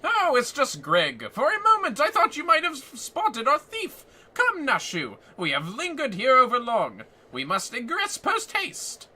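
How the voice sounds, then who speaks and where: A man voicing a pompous, bombastic gentleman inspector from a video game, with grandiose, old-fashioned, theatrical diction. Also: very high voice, wildly swooping pitch, a little slow, few pauses, pitched above the performer's own voice, full character voice. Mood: dramatic, bombastic. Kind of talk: video game dialogue read aloud.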